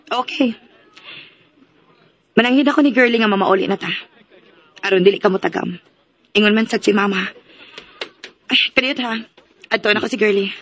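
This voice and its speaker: A woman's voice: medium pitch, normal speed, pauses often, a bit bright, natural voice. An actress playing a playful, pouty young daughter in a radio drama, mixing English into her teasing talk.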